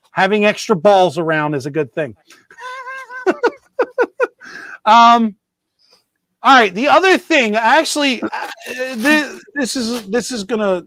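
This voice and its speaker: A man's voice: sits high, fairly bright tone, dry recording. A man hosting a model railroad show, chatting casually and joking as he rambles, restarts his sentences and corrects himself. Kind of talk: casual live-stream chat.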